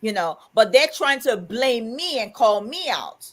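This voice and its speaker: A woman co-hosting a live chat stream, telling animated stories, laughing often and reading viewer comments aloud. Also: fairly high pitch, measured pace. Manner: animated, laughs often, acts out quoted dialogue. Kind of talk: casual chat, storytelling.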